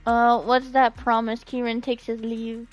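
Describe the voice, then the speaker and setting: A woman with a high-pitched voice hosting a casual game stream. Her pace is slow, but her manner is excitable and giggly as she chats with viewers. Soft music sits in the background. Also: lo-fi sound. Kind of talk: casual live-stream chat, reading out comments.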